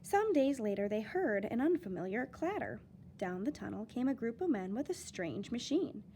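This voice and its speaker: A woman teacher reading a children's picture book aloud, with lively, expressive storytelling and dramatic emphasis. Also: a little high, moderate pace, a little hiss or hum.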